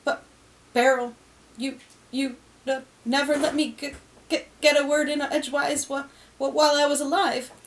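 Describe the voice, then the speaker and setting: A woman's voice: high-pitched, flat delivery, normal speed, distant mic, slight background noise, pitched above the performer's own voice. A woman playing a stammering henpecked ghost husband. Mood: exasperated. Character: stammering dead husband, henpecked older man.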